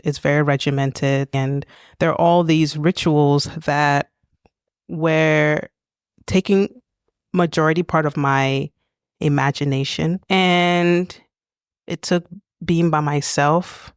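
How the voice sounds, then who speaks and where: A young adult woman co-hosting a podcast, soft-spoken and reflective, lingering on her words. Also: low voice, steady tone, slow, clean tone, no room sound, studio quality. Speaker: podcast co-host. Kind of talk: reflective conversation.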